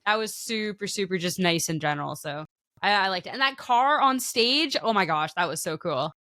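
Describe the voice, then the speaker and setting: A woman co-hosting a podcast, chatting casually with a bright, forceful edge to her voice. Her sentences wander, trail off and restart. The recording is dry and close. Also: mid-range voice, animated, moderate pace, no echo. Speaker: podcast co-host. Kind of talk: casual chat.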